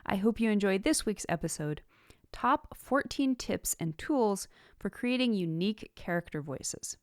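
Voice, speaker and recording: A woman hosting an instructional podcast, reading scripted material in a measured, deliberate way with emphatic phrasing. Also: mid-range voice, lively intonation, no echo, studio quality. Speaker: podcast host, writing teacher.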